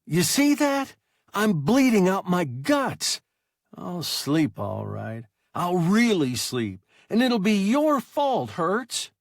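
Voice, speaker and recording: A man voicing an injured young male pilot who is bitter and accusing, in a thin, high whining voice. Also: wildly swooping pitch, slow, creaky, dry recording, studio quality, exaggerated voice. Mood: shrill, accusing. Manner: bitter, shrill and rising to screams.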